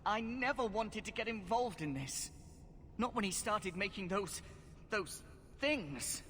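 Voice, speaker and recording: A scared, earnest young mage in a fantasy game. His voice is timid, pleading and fairly high, ringing in a long reverb with clear noise behind. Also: expressive, unhurried, bright, forceful tone, full character voice. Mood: frightened, shaken. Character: frightened earnest young runaway mage boy. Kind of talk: dramatic video game acting.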